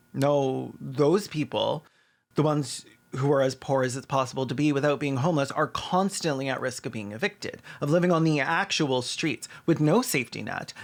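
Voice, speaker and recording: Sardonic man doing scripted commentary. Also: medium pitch. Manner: sardonic, casually sweary. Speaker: video essayist host.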